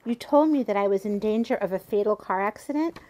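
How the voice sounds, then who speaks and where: A woman's voice: mid-range voice, a little fast, dark tone, close to an everyday voice. A woman playing a gullible, effusive customer who trusts her psychic completely, in an audio drama. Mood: grateful, gushing. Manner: earnest, effusive.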